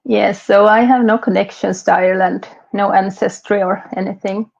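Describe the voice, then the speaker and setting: A woman's voice: mid-range voice, flat delivery, vocal fry, a little mellow, highs cut off. A woman in her forties from Finland with a Finland Swedish accent, a native Swedish speaker, talking carefully and hesitantly as an interview guest. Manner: slow, careful, hesitant with many fillers. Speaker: interview guest, language learner. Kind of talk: casual interview chat.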